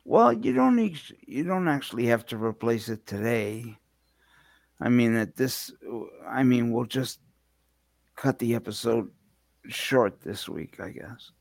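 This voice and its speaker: Slow, conciliatory man co-hosting casually. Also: medium pitch, animated, vocal fry, no echo. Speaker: radio show co-host. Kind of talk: casual unscripted chat.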